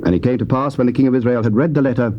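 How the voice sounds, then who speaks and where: An English man reading Bible narration in a steady, formal way on a lo-fi tape. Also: mid-range voice, very fast, a little hiss or hum. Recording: dull lo-fi tape.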